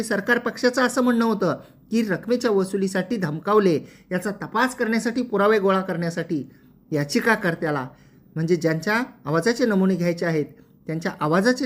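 A presenter explains legal matters in a formal, methodical way, restating points as they go. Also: medium pitch.

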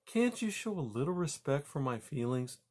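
A man voicing a gentle, anxious mother mouse in a storybook, speaking politely and earnestly with some hesitation. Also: on the high side, big pitch swings, moderate pace, pitched above the performer's own voice. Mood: earnest, hurt.

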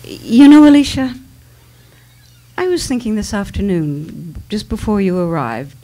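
A woman's voice: mid-range voice, lively intonation, unhurried, mellow, lightly acted. A woman playing a vain, blunt, ailing mother, theatrical and dismissive, in a staged dramatic reading. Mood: wry. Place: small dimly lit stage venue. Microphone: microphone on a stand.

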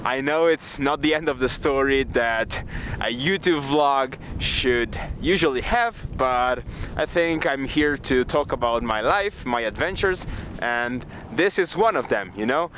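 A man's voice: on the high side, expressive, a little slow, a bit bright, slight background noise, poor audio. A young man talking casually to his camera outdoors in a vlog, thinking aloud with some hesitation. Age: young adult. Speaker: vlogger. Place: outdoors on an open mountain hillside.

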